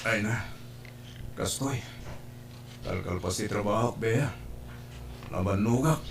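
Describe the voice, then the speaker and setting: Man playing tired young ilocano boyfriend, lowish voice, subdued, bit of background noise. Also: slow, creaky. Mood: weary, caring. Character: dutiful, weary young working man, evasive boyfriend. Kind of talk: Ilocano audio drama acting.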